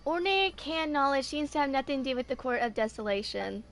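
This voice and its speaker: A woman's voice: high voice, animated, fast. A woman in her twenties doing a casual game playthrough, reading text aloud and talking to herself unhurriedly. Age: 20s. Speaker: gaming video creator.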